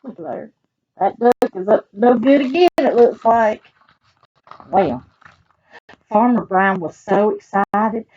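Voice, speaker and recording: A woman with a Southern US accent reading picture books aloud to children in an animated, expressive way, adding chatty asides. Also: mid-range voice, slow, long pauses, dull audio, slight background noise. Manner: animated, expressive, talks directly to young listeners.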